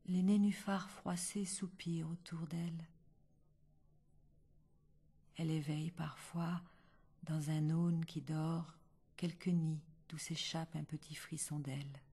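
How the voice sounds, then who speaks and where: Woman whispering a poem, low gravelly husky voice, slow. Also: steady tone. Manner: hushed, half-whispered delivery. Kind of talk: whispered poetry recital.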